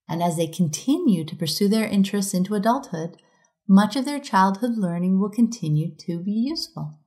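A middle-aged woman reading a prepared conference talk aloud in a measured, reflective way as a podcast host. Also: medium pitch, a little mellow.

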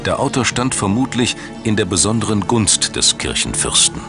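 Male documentary narrator, very deep, measured and dramatic, music bed underneath. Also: sing-song. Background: background music.

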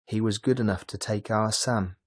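A man reading a storybook, voicing a gentle, reluctant older doctor who talks to his daughter with mild hesitation. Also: lowish voice, fairly even intonation, moderate pace, slightly rough. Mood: hesitant.

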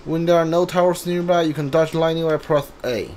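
A man's voice: fairly high pitch, steady tone, brisk, darkish tone, slight background noise. A man giving casual video game commentary, thinking aloud and reading text from the screen. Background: game music.

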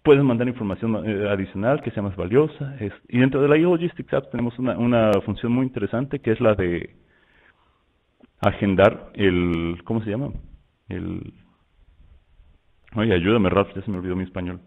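A man from Mexico with a Mexican Spanish accent, walking through software in a loose, conversational webinar style full of fillers and restarts. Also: on the low side, normal speed. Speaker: software presenter. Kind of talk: webinar product walkthrough. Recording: tinny phone-like line.

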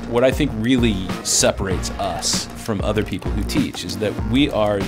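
Male instructor, low voice, eager promo sound bite over a music bed. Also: normal speed. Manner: enthusiastic. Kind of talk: promotional interview.

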